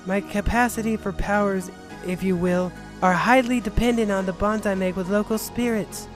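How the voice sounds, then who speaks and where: Man putting on a high-pitched earnest young girl. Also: fairly even intonation, normal speed, lightly acted. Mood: lecturing. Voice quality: high-pitched put-on girlish voice. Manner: formal, wordy, protesting. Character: earnest young girl priestess, polite and stubborn. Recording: background music under the voice.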